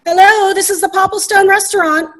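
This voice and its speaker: A woman playing a brisk, formally polite but exasperated restaurant hostess in a comic readers' theater sketch. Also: very high voice, fairly even intonation, a bit bright. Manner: brisk, formal customer-service phrasing.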